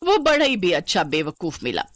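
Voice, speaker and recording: A woman voicing a greedy, bullying female eatery owner in a haughty, scolding manner for an animated moral story. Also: lowish voice, moderate pace. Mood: gloating.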